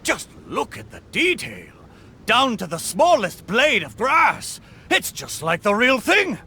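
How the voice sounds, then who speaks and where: A man's voice: extremely high pitch, wildly swooping pitch, slow pace, pushed and bright, slightly rough, full character voice. A man voicing a boastful, good-humored spirit of an old pirate ship in a video game, talking in hearty, swaggering pirate style. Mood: amazed. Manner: hearty, bragging, swaggering pirate talk with "arr". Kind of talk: video game character acting.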